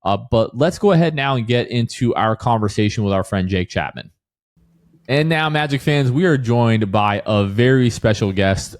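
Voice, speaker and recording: A man's voice: medium pitch, no echo, clean studio sound. An American man hosting a podcast, chatting casually about sports. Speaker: podcast host.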